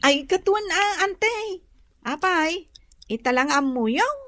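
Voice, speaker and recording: A woman's voice: very high voice, expressive, slow, a bit bright, heavily acted. A woman with an Ilocano accent playing a young, eager and respectful nursing student in a radio comedy drama. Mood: friendly.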